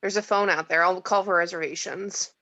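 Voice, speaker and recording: A woman's voice: fairly low pitch, fairly even intonation, brisk, bright, forceful tone, no room sound. A woman playing an exasperated, sceptical reluctant heroine in an amateur screenplay table read. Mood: practical. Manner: wry, exasperated.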